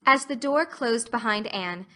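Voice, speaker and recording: A woman narrates a classic novel as a scripted audiobook. Her voice sits somewhat high and has a bright, forward tone. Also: lively intonation, unhurried.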